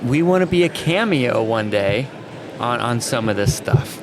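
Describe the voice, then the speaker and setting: A man co-hosting a podcast in casual banter, jokey and quick to interject. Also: lively intonation. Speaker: podcast co-host. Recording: noisy live event, vehicle-like rumble.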